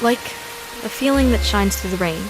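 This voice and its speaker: An actress playing a dreamy, romantic female astronaut in an audio sci-fi drama, speaking in halting, wistful musings. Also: mid-range voice, animated, noisy background, natural voice. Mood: dreamy, tender. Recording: music behind the voice.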